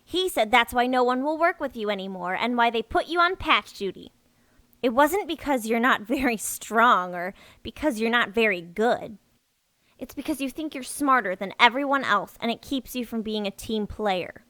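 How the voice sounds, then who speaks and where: Woman as gullible mole girl in a radio play, insistent, bright sharp voice, dry studio sound. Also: on the high side, expressive, normal speed, no room sound. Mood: indignant. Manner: earnest, insistent, defensive. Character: earnest, gullible, indignant young female moleperson worker. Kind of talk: audio drama acting.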